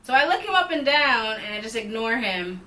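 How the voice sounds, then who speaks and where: A woman's voice: on the high side, brisk, slight background noise, poor audio. A young woman co-hosting a couple's vlog, telling a storytime casually and animatedly, with lots of "like". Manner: animated, heavy use of "like", rising excitement. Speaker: vlog co-host.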